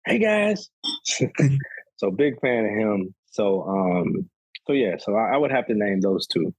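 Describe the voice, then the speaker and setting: Casual podcast guest, man in his 30s, low husky voice, easygoing rambling delivery. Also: unhurried, dry recording, rough recording. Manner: relaxed, rambling, says "man" often. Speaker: podcast guest, golf sneaker reviewer. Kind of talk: casual conversation.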